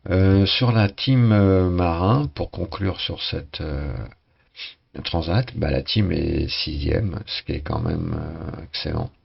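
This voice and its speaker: Hoarse guy w a really low voice rambling thru a sailing game update, lots of uh and restarts, kinda muffled audio. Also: slow. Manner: hesitant, many fillers and restarts. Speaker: channel host commenting a sailing race game. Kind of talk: casual live game commentary.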